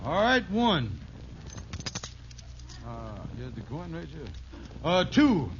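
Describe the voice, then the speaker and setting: A man's voice: a little high, big pitch swings, slow pace, exaggerated voice. An actor playing a scheming, pompous conman who uses big words and mangled grammar in an old radio sitcom. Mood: bossy, sly. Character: scheming, fast-talking male conman, pompous fake fitness instructor. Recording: muffled, noisy old radio broadcast.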